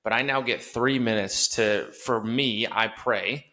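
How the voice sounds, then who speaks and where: A man's voice: medium pitch, measured pace, sharp tone. A man with a Wisconsin accent hosting a podcast, talking earnestly and conversationally and asking follow-up questions. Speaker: podcast host. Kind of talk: casual podcast interview.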